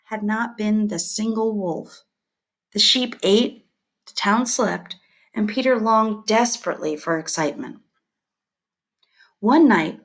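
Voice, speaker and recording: A woman teacher reading scripted educational material aloud in a clear, deliberate and expressive way. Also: a little high.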